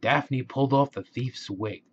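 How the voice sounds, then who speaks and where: A man's voice: mid-range voice, measured pace, husky, muffled recording. A man narrating a children's storybook aloud.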